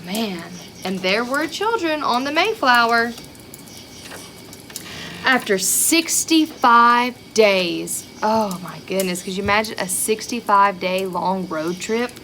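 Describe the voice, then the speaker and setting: A woman reading a picture book aloud to young children in an expressive way, with chatty asides and questions to her listeners. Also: medium pitch, takes it slowly, slight background noise. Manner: expressive, child-directed, with rhetorical questions and exclamations.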